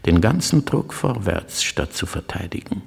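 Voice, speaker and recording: A man's voice: deep voice, very animated, moderate pace, gravelly, breathy edge, long reverb tail, heavily acted. A man playing a courteous, agitated middle-aged stranger in a radio drama, speaking with urgent precision. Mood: excited, urgent. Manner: urgent, precise, formally polite. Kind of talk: literary radio drama acting.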